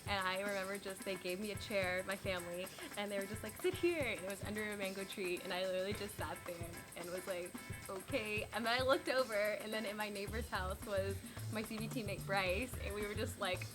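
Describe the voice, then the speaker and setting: A young woman with an American accent talks conversationally as a volunteer being interviewed. Her pitch is average for a woman and music is clearly audible behind. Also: fairly quick. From Florida, United States. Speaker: Peace Corps volunteer. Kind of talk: casual interview storytelling.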